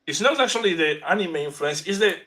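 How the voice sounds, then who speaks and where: Halting Argentinian man on a call. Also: high voice, animated, bright, forceful tone. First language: Spanish. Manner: halting, restarts words, searches for phrasing. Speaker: guest artist. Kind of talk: casual chat.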